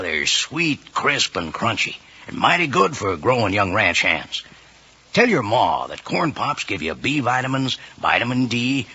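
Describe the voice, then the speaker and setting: A man's voice: lowish voice, vocal fry, pushed and bright, breathy edge. A man announcing a sponsor's advert in hearty, folksy cowboy patter, 1950s radio style. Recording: dull old radio recording.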